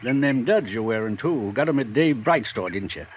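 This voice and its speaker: A man's voice: medium pitch, expressive, normal speed, lightly acted. A man playing an old backwoods prospector. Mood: folksy. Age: older. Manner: drawling rural backwoods dialect. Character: old lone male mountain prospector, folksy and shrewd. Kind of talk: 1950s radio drama acting. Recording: dull old radio broadcast with faint hiss.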